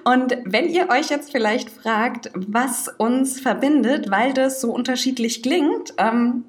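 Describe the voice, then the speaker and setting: A woman's voice: sits high, takes it slowly. A woman of twenty-eight co-hosting a podcast in a casual style, speaking in an articulate, reflective way. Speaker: podcast co-host. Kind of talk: casual chat.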